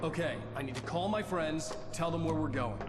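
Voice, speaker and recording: A man's voice: on the high side, moderate pace, over music, close to an everyday voice. An actor playing a troubled, earnest writer in his thirties in a video game. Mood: urgent. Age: 30s. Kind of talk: video game acting and first-person narration.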